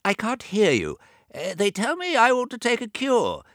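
Brief: a man playing a fussy, money-minded old man near eighty, high thin voice, quick prattle, dry sound. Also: wildly swooping pitch, fairly bright tone, dry recording, heavily acted. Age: 80s.

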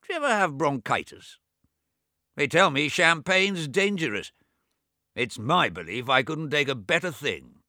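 Brief: a man doing a proud, sickly old gentleman near eighty, grumbling high and loud, dry close sound. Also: very animated, measured pace, no echo, exaggerated voice. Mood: querulous. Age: 80s. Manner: querulous, complaining, boastful. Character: gruff ailing elderly rich man, proud.

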